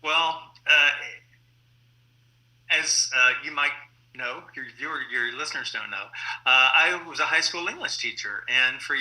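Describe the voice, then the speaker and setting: A man's voice: medium pitch, sharp tone, rough recording. An American man, middle-aged or older, answering as an interview guest in an anecdotal, explanatory way. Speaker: playwright and guest.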